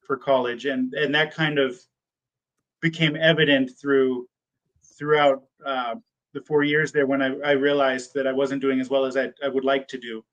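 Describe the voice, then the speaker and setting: A man's voice: mid-range voice, level tone, moderate pace, fairly bright tone, highs cut off. Hesitant man answering interview questions. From born in Germany, raised in the United States. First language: German. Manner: hesitant, many ums and repeated words. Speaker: PA school applicant.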